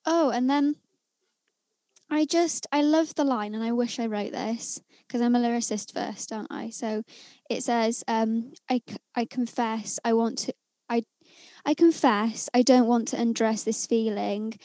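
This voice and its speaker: Chatty high-voiced young woman. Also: dark tone, no echo. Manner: chatty, enthusiastic, reads from notes on her phone. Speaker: podcast co-host reviewing songs. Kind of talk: casual music review chat.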